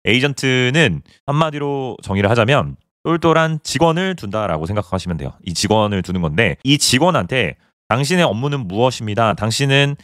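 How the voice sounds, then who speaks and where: A man talks through a coding tutorial at a brisk clip, pointing at items on his screen as he goes. His voice moves up and down in a lively way. The sound is dry and close, from a small quiet room. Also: medium pitch, no echo. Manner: explains step by step, pointing things out on screen. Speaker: programming instructor.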